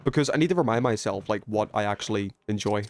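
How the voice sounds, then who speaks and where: A man's voice: lowish voice, fast, a little mellow. A man hosting a video game livestream, rambling and thinking aloud as he chats casually with viewers. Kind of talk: casual gaming stream chat, reading viewer messages.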